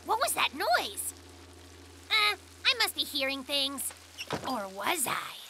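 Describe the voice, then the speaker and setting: A woman voicing an excitable, self-important young male polar bear cub who plays detective in a cartoon, speaking with bossy melodrama. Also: high-pitched, takes it slowly, creaky, noisy background, full character voice. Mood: dramatic narrating. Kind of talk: cartoon acting with mock detective narration.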